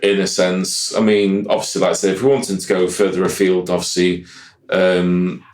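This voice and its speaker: Guy chatting on a podcast, kinda low voice, rambles a lot, echoey room. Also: little pitch movement, normal speed, darkish tone. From Yorkshire, England. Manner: rambling, keeps saying "like I said". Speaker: guest musician. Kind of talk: casual podcast chat.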